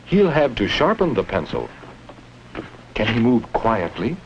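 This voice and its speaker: A man's voice: medium pitch, big pitch swings, measured pace. A man reading scripted narration for a 1950s educational film, instructing children with simple questions. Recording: muffled, noisy 1950s educational film soundtrack.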